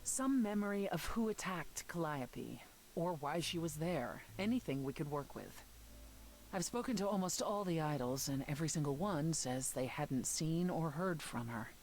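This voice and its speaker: A stern, protective video game heroine with a low voice. She speaks with measured, solemn intensity and unshakeable resolve, over audible background noise. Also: lively intonation, a little mellow, rough recording. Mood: pressing, serious. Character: tall grave protective woman, resolute ally.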